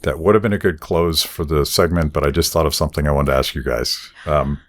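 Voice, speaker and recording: A man hosting an interview in a casual, halting, rambling conversational way. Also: a bass voice, fairly even intonation. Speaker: interview host.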